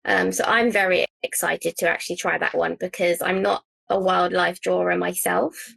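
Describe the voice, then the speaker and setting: A woman's voice: fairly low pitch, flat delivery, normal speed, vocal fry, fairly bright tone, slightly rough, no room sound. A woman hosting an art podcast, asking questions and encouraging her guest with short agreeing sounds. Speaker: podcast host. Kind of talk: casual podcast chat.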